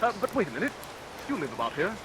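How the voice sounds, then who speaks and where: An actor playing a hearty, courteous young sailor in love, gallant and earnest, in vintage radio drama. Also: male voice, high voice, very animated, quick pace. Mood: hearty, warm. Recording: hissy vintage radio recording with rain.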